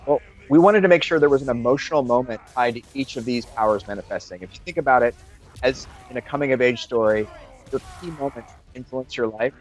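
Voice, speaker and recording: A man speaking as a game developer guest, explaining creative choices in a measured way during an interview. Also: mid-range voice.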